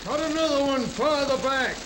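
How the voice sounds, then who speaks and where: Very high-voiced rugged Western outlaw. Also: male voice, moderate pace. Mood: tense. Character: rugged outlaw barking orders to his gang. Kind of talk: 1930s radio Western acting. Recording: very noisy 1930s film soundtrack.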